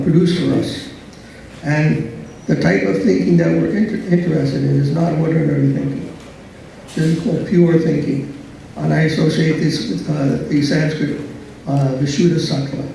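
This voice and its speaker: A soft-spoken man giving a philosophy lecture in a slow, hesitant way, full of fillers and restarts. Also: on the high side, vocal fry, slight background noise. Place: large echoey lecture hall. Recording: echoey hall, speaker far from mic.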